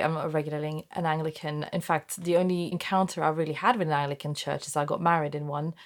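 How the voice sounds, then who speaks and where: Norwegian lady, really low voice, chatting thoughtfully, keeps restarting bits. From Norway. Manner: thoughtful, personal, frequent self-corrections. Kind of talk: conversational discussion.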